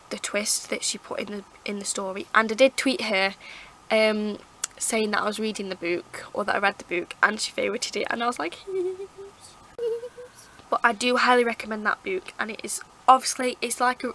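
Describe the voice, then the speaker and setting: A woman with a croaky, hoarse throat, rambling chattily as she reviews her favourite books to camera. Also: mid-range voice, sharp tone, slight background noise, poor audio. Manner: rambling, chatty, lots of fillers and restarts. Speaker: book vlogger. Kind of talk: casual book review chat. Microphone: camera mic.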